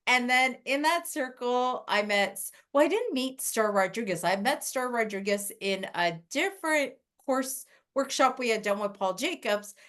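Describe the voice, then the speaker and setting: A woman hosting a podcast, her voice sitting fairly high. She talks in a casual, gushing way, full of enthusiasm. She sounds like she is on a video call. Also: expressive, moderate pace, few pauses, fairly bright tone. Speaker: podcast host. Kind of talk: casual interview chat.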